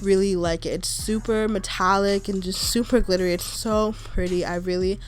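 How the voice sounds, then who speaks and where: A woman's voice: mid-range voice, steady tone, normal speed. A young woman with a stuffy nose from a cold, narrating a makeup tutorial in a chatty, reassuring, casual way. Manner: chatty, reassuring, with frequent "like" and "kinda". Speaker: beauty vlogger.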